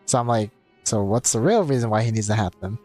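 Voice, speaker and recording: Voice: mid-range voice, fast, dark tone, soft background music. A game streamer giving casual live commentary, musing and asking questions aloud over the game.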